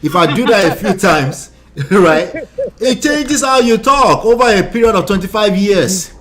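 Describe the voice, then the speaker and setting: A man's voice: sits high, a little slow, a crowd in the background. A middle-aged man from Nigeria with an accent mixing Nigerian and American tones, a relationship coach chatting casually and laughing often. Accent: Nigerian, mixed with American. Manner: animated, laughs often, repeats words. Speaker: relationship coach and show host. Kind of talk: casual podcast chat.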